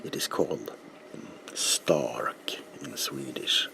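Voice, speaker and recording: A man speaks in a very deep, gravelly half-whisper. He takes his time, unhurried and deliberate, playing a polite bookseller in an ASMR roleplay. The delivery is breathy and attentive, as if serving one customer.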